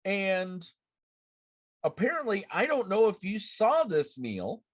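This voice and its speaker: Slow, animated man hosting a podcast. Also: high voice, no echo, studio quality. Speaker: podcast host. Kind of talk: casual gaming discussion. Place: room at home, on a video call.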